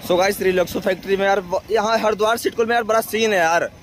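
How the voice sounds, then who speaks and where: Fast, high-pitched man vlogging. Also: steady tone, faint music behind. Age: young adult. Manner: chatty, repetitive, addressing viewers as guys. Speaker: vlog host. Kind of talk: casual outdoor vlog narration to viewers. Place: outdoors along industrial roadsides. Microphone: camera held at arm's length. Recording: noisy street with vehicle traffic.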